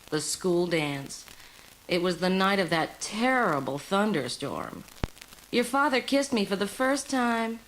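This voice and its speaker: A woman's voice: mid-range voice, fairly bright tone, a little hiss or hum, close to an everyday voice, low-quality recording. An actress playing a nostalgic middle-aged mother who reminisces in a sentimental, wistful way in a children's read-along story.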